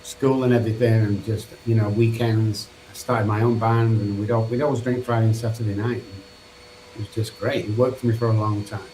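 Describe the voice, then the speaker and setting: This is a middle-aged man with a Manchester accent, speaking in a fairly low voice as a podcast guest. He tells his life story in an earnest, loose way, full of restarts, with a faint hiss of background noise behind him. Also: flat delivery, brisk, mellow, low-quality recording. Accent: Mancunian English. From England. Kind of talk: candid personal recovery story.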